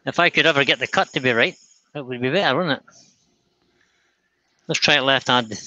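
A man's voice: medium pitch, brisk, pushed and bright, no echo, rough recording. A man hosting a live woodturning demonstration in casual, chatty style. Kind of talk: casual chat while demonstrating.

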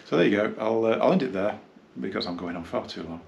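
Guy in his 50s rambling about old gear, gravelly low voice, bit of room noise. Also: expressive, a little mellow, lo-fi sound. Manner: rambling, halting, reminiscing. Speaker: hi-fi dealer and reviewer. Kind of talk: casual unscripted product review. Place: small carpeted indoor room, seated.

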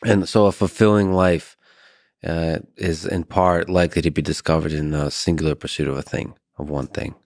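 A man in his thirties hosting a podcast, speaking slowly and calmly into a boom mic. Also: dry recording, studio quality. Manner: slow, calm, low-key. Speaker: podcast host. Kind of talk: podcast conversation. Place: room with curtains and lamp. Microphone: studio mic on boom arm.

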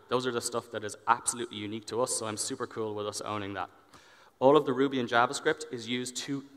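Bright-voiced man presenting in a reverberant hall. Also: medium pitch, smooth. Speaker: engineering director, conference speaker. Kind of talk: conference presentation. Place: large indoor stage in a conference hall. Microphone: headset. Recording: long hall reverb from stage PA.

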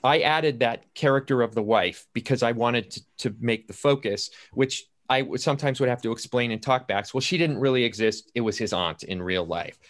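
A man's voice: medium pitch, normal speed, few pauses. An older man, a playwright talking casually in a panel discussion over a video call.

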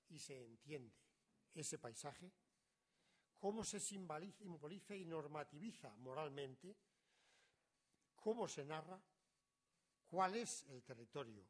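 A middle-aged man, reading a prepared academic lecture in a measured, formal way that often trails off quietly at the ends of phrases. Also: fairly high pitch. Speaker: conference speaker.

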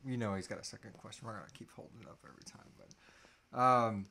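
Low-voiced man chatting playfully. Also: fast talker, vocal fry, bright, forceful tone, slightly rough. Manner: jokey, playful. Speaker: co-host of a live fan Q&A. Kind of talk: casual chat. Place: small room indoors, on a couch.

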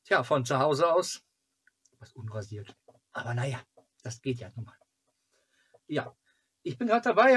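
A man's voice: fairly high pitch, a bit bright, slightly rough. A man in his forties chatting casually to viewers as a channel host, rambling and thinking aloud. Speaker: host of a children's TV fan club channel. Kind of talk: casual vlog chat to viewers. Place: small cluttered room at home, indoors. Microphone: camera or webcam at arm's length.

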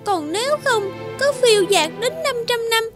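A woman's voice: very high voice, expressive, normal speed, over loud music. A woman voicing a blunt, world-weary discarded juice bottle in a children's cartoon, scolding and matter-of-fact. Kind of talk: animated children's cartoon acting.

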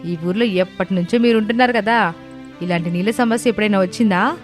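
A woman's voice: mid-range voice, fast, faint music behind, close to an everyday voice. Woman playing a bold, outspoken young daughter-in-law. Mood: defiant.

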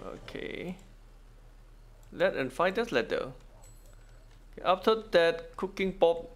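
A man giving casual game walkthrough commentary, thinking aloud in halting, non-native English. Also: high-pitched, lively intonation, a bit bright, soft background music. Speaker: gaming video host.

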